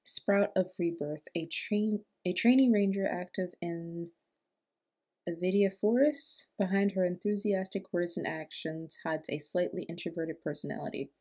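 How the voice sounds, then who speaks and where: A woman's voice: on the low side, normal speed, dark tone. A young adult woman giving casual reaction commentary as a video host, indoors at home. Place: small room at home, indoors. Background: game trailer music.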